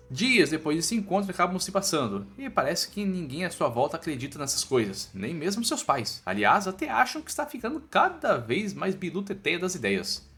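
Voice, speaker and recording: A man's voice: fairly high pitch, pushed and bright. A man with a Brazilian accent narrating a scripted comic story recap in a playful, colloquial storytelling manner. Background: background music.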